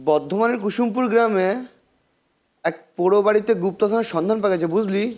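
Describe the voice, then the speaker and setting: High, quick-talking Bengali detective played by a man. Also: darkish tone, natural voice. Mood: explaining, intrigued. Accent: Bengali, West Bengal. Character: male detective, elder-brother figure, explaining patiently. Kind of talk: amateur web drama dialogue.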